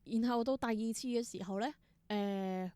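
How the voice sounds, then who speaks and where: A woman with a Hong Kong Cantonese accent, telling stories casually as an interview guest, expressive and mixing in English words. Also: medium pitch, moderate pace, clean tone, no room sound. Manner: expressive, exclaims in wonder, mixes in English words. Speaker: interview guest, theatre performer.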